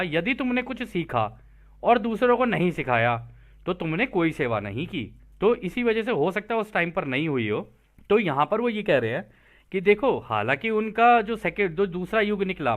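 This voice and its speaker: A man's voice: fairly high pitch, fairly bright tone. A man presenting a text, reading it aloud and explaining it conversationally, often repeating and rephrasing his points.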